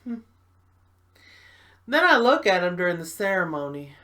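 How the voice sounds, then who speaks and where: Woman acting a wounded, confiding woman, lively pitch. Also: moderate pace. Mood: wistful. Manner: confiding, dramatic. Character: wounded, bitter woman recalling a former lover. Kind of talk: stage monologue performance.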